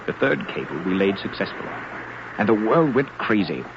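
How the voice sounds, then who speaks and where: An exasperated, determined businessman in a 1950s radio drama. His delivery is emphatic and swings widely in pitch. The old recording is muffled, with clear noise behind the voice. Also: big pitch swings, normal speed. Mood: fondly proud. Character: driven, exasperated businessman leading an ocean cable venture.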